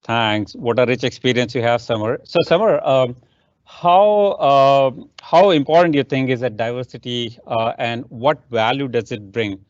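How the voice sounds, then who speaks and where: A man's voice: mid-range voice, normal speed, no echo. A man hosting an online panel, inviting a guest and asking questions, with brief encouraging interjections. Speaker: session host. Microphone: video call. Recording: dry, muffled online call.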